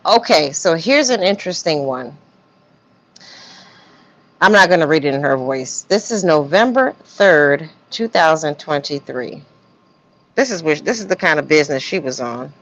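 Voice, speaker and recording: Female live stream host, casual slangy commentary, slightly low voice, muffled lo-fi sound. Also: animated, normal speed. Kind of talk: casual commentary on text messages. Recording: dull, lo-fi stream audio.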